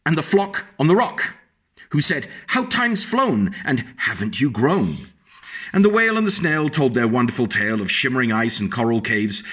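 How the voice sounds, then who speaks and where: A man reading a picture book aloud to young children, animated and stopping to explain the pictures to his small listeners. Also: a little high, breathy edge.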